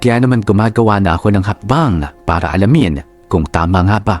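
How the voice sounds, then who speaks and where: A man narrating a wife's aggrieved confession for a radio drama, in a measured, deliberate manner. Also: on the low side, music in the background, close to an everyday voice. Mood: suspicious. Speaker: narrator reading a letter-writer's story.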